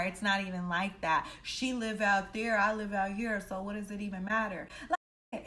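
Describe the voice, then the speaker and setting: A woman casually telling a personal story in an animated, slangy, heavily profane way, acting out the dialogue as she goes. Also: mid-range voice, slight background noise. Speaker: storytime vlogger.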